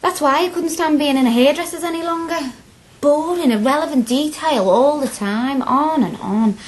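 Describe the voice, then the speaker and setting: A high-pitched young Liverpudlian woman played by an actress in a radio play. She is a working-class student, spirited and earnest, and speaks bluntly, with impatient passion. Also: moderate pace, background noise, close to an everyday voice. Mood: defensive, impatient. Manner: blunt, impassioned. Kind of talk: naturalistic radio drama acting.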